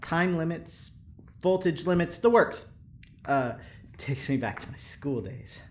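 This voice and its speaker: A man's voice: fairly high pitch, expressive, unhurried, darkish tone, slight background noise. An actor playing an eager, earnest male prison engineer in an audio drama, rambling hesitantly with nervous laughter. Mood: chatty, upbeat. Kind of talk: audio drama acting, naturalistic.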